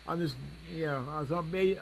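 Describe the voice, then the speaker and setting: A man's voice: on the high side, slight background noise. A man asking and commenting informally as an audience member, often repeating words as he stammers along. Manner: halting, stammering repetitions.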